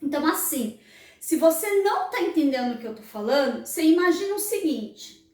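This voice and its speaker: Brazilian woman vlogger, high voice, earnest teaching tone, distant mic with room echo. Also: expressive, fairly quick, darkish tone. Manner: frequent tag questions, earnest and didactic. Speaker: channel host. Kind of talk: explanatory vlog talk.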